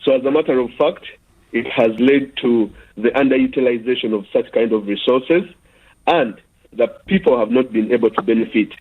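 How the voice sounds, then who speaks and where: A Ugandan-born man calling a radio phone-in, heard through a tinny telephone line. His voice is husky and mid-pitched, and he argues earnestly, padding his points with fillers. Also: normal speed. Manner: earnest, argumentative, many fillers. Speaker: caller. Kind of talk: phone-in call.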